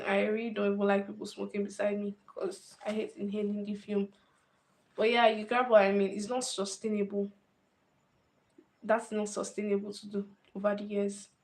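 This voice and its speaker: Woman thinking aloud to camera. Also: mid-range voice. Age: 20s. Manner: thinks aloud, repeats and restarts phrases. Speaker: vlogger. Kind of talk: casual talk to camera.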